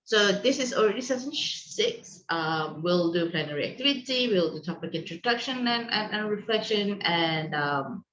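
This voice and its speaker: A woman from Malaysia leads an online teachers' session, explaining the meeting rules in a friendly, instructive way with many ums and restarts. Her voice sits a little low. The call audio is dull and lo-fi, with a roomy echo. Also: fairly bright tone. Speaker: online session host and co-founder. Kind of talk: hosting a video call meeting. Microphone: video call microphone.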